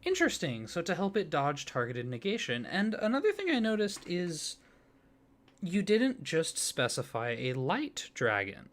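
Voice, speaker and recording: A man speaking softly and warmly in an ASMR roleplay as a friendly card designer, gently enthusiastic. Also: on the high side, wildly swooping pitch, a little slow. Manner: soft-spoken, gently enthusiastic. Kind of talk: soft-spoken ASMR roleplay.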